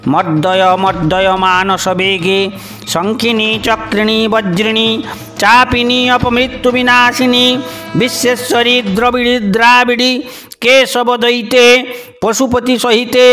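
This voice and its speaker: Male devotional reciter, high bright voice, measured incantatory phrasing, music underneath. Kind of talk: Sanskrit stotram chanting. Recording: music behind the voice.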